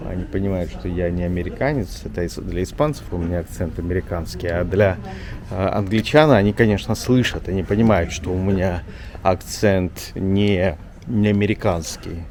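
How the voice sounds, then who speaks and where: Chatty low-voiced man strolling outdoors. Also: moderate pace, a little mellow, slight background noise. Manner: chatty, rambling, often says "вот". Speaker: journalist vlogger. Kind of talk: casual walking vlog chat. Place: outdoors in an old town street.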